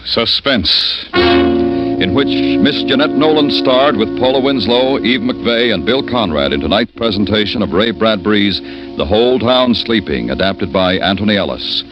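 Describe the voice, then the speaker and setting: A man's voice: lowish voice, animated, unhurried. A man announcing in a formal, scripted 1950s radio style. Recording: dull vintage radio broadcast with loud music.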